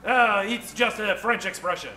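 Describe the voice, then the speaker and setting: A man playing a pompous, secretive traveler in an old-time radio comedy, speaking formally and haltingly. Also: high voice, sharp tone, reverberant, soft background music. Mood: scheming.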